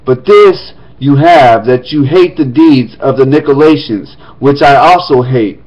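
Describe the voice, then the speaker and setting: Man reading scripture, lo-fi recording. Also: medium pitch. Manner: steady reading broken by quick, casual spoken asides. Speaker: scripture reader. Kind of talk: reading scripture aloud with casual asides. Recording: dull lo-fi recording.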